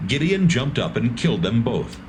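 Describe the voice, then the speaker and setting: A man narrating a dramatised scripture reading with a measured storytelling delivery. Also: medium pitch, very animated, background noise.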